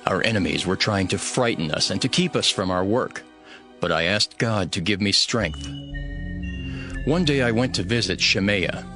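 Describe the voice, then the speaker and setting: Low-voiced, earnest ancient governor. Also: male voice, animated, moderate pace, bright, forceful tone, faint music behind. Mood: earnest, measured. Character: steadfast, devout male governor of ancient Jerusalem telling his story. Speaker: first-person narrator. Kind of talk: dramatized scripted narration.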